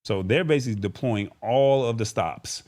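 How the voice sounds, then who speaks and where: A man's voice: medium pitch, normal speed, a little mellow. Casual man hosting a live show. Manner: informal, conversational, addresses viewers directly. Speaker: show host. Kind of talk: casual live-stream talk show, reading viewer comments.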